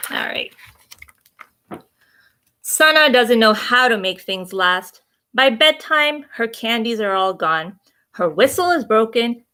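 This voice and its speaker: Bright, slow-paced woman reading to children. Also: fairly high pitch. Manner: expressive, simple questions addressed to children. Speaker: children's story time host. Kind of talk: storybook read-aloud with asides to young listeners.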